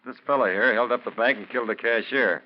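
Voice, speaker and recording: An actor playing a practical small-town sheriff with a folksy, no-nonsense manner, in a 1940s radio drama. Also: male voice, mid-range voice, quick pace, lightly acted. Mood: brisk, urgent. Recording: dull, noisy vintage radio recording.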